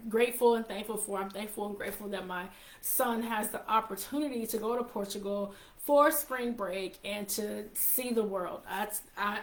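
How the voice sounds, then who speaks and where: A woman's voice: fairly high pitch, fairly bright tone. A woman in her forties hosting her own show in a casual, chatty way, congested with a slight cough and often repeating herself. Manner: chatty, repeats and restarts phrases. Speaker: host. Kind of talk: casual live-show chat. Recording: roomy echo.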